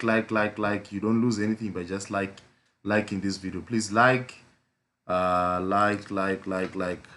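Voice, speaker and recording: A man with a hoarse voice from a flu, hosting a casual live trading stream with a South African accent, rambling with lots of fillers and repeated pleas. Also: on the low side, bright, forceful tone. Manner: repetitive pleading, frequent fillers.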